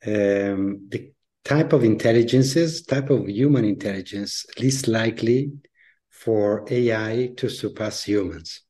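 Low-pitched man with an Italian accent. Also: dark tone. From Italy. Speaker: interview guest, leadership coach. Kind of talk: conversational interview.

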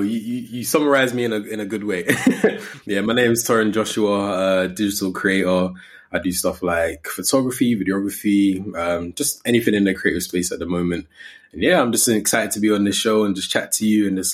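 A man's voice: on the low side, fairly quick. A man in his twenties with an English accent, chatting informally and with slang as a guest in a casual interview. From Coventry, England. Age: 20s. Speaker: podcast guest, digital creator.

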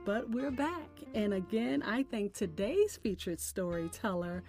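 A woman hosting a podcast, delivering scripted announcements and poetry in a deliberate, expressive way. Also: medium pitch. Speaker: podcast host. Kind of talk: scripted show sign-off and poetry recitation. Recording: music bed under the voice. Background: music.

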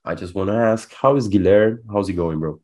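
A man's voice: low voice, a little fast, darkish tone. A man hosting a podcast in a casual, informal, slangy way. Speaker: podcast host. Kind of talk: casual chat.

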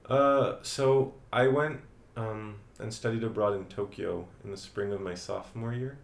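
A young man from France, a teacher, answering interview questions in a relaxed way with frequent ums and uhs. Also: fairly low pitch, measured pace, gravelly. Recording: echoey room with faint ambient noise.

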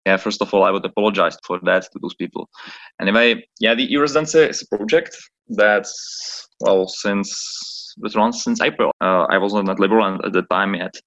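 Low-voiced man, hesitant. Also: moderate pace, fairly bright tone, muffled recording. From Czech Republic. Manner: hesitant, searching for words, apologetic. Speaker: guest, chief of staff. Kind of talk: podcast interview. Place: office in Prague, on a call.

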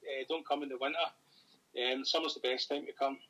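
A Scottish man chatting casually as a podcast guest, talking fast and rambling with fillers. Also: mid-range voice, little pitch movement, sharp tone, rough recording. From Scotland. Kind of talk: casual chat.

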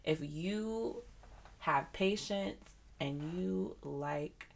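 A woman hosting a podcast in casual chat, relaxed and thoughtful as she gives her opinions. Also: fairly low pitch, extremely slow, gravelly. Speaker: podcast host.

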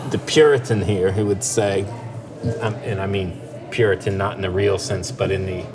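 A man's voice: deep voice, steady tone, moderate pace, crowd noise. A man co-hosting a casual talk show, speaking thoughtfully with many fillers and restarts. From part Finnish heritage. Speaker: podcast co-host. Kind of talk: casual discussion.

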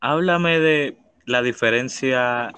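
A man's voice: medium pitch, takes it slowly, clean tone, dry recording. A man with a Dominican accent hosting a casual podcast chat, playful and teasing. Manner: playful, teasing, laughs loudly. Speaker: podcast host.

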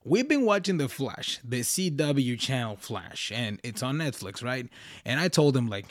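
A young man talks casually on his own podcast, rambling, restarting sentences and dropping slang. His voice sits at an ordinary middle pitch. The sound is dry and close, with no room echo. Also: sing-song, unhurried. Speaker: podcast host. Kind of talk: casual solo podcast talk.

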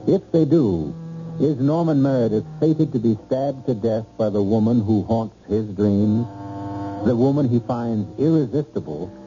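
A man's voice: mid-range voice, a little slow, warm tone, a little hiss or hum. A man hosting a radio mystery with theatrical, suspenseful scripted narration in an ominous manner. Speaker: host of a mystery radio drama. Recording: dull old radio recording. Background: music.

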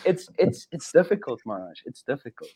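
A man candidly sharing his struggles in a devotional discussion. He often trails off softly. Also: mid-range voice, very animated, fairly quick, slightly rough. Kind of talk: earnest discussion.

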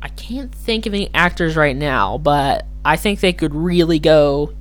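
A podcast host with a slightly hoarse voice, talking casually and alone in a rambling, hesitant way that keeps correcting itself. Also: medium pitch, animated, measured pace. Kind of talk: casual solo commentary.

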